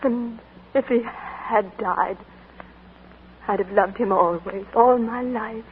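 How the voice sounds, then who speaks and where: A woman's voice: fairly high pitch, slow pace, slight background noise. Heartbroken young woman in love. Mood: grieving. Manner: emotional, breathless and pleading. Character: young woman waiting for her soldier sweetheart, heartbroken. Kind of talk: 1940s radio acting. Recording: old lo-fi radio broadcast.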